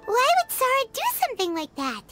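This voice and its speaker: Tiny excitable fairy sidekick for a game: piercingly high, squeaky childlike delivery, music behind. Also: female voice, a little fast, full character voice. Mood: indignant, puzzled. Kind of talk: cartoonish video game voice acting.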